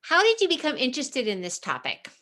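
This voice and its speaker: A woman's voice: high voice, wildly swooping pitch, fairly quick, bright, forceful tone. A woman moderating an academic question-and-answer session, putting questions to a speaker.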